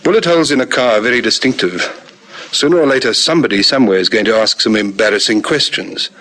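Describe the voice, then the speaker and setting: An actor with a South African accent playing a diligent, respectful police lieutenant in a vintage radio drama, brisk and formal. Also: male voice, medium pitch, fairly bright tone, natural voice, rough recording. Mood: businesslike, dutiful.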